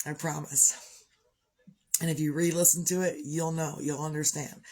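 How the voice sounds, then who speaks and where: A woman with a congested voice who keeps clearing her throat, talking on a live video in a chatty, upbeat, rambling way about gratitude and her morning. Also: low voice, little pitch movement, normal speed, warm tone. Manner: chatty, upbeat, wanders off topic. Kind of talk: rambling motivational chat.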